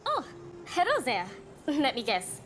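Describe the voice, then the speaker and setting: A woman's voice: sits high, wildly swooping pitch, unhurried, fairly bright tone, slight background noise, heavily acted. An actress playing a spirited, loyal young woman in a dramatic video game. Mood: friendly, musing. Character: spirited, warm young Japanese woman, loyal to her companions. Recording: music behind the voice.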